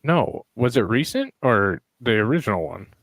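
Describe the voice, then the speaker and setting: Hoarse man chatting, dry close mic. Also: mid-range voice, very animated, normal speed, a bit bright, dry recording. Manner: rambling, searching for names. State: hoarse, lost voice. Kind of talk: casual online chat.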